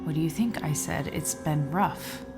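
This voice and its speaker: A woman's voice: sits low, measured pace, music in the background. An actress playing a trapped, despairing woman scientist on a space mission, weary and bitter, in an audio drama. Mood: bitter, resigned. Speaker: actor in a musical audio drama.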